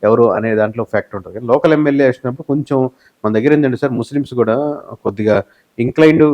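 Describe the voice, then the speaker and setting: A man's voice: mid-range voice, very fast, a little mellow, dull audio. A man with a Telugu accent giving explanatory interview answers as a political analyst, mixing in English words and phrases. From Telugu-speaking southern India. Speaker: guest, election survey company head.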